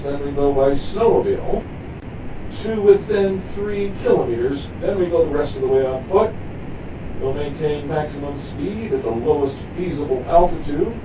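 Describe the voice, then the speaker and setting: A man's voice: a little high, roomy sound, dull audio, voice pushed up, close to an everyday voice. Man playing bold comic-book hero, noisy recording. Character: bold, commanding male superhero agent, earnest comic-book hero. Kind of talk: comic book read aloud.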